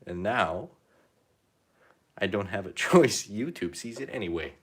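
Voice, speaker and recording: A young man chatting casually while painting on a live stream, rambling and self-deprecating, his pitch rising at the ends of phrases. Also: animated, measured pace, breathy edge. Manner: rambling, self-deprecating, reads chat comments aloud. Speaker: painting livestreamer.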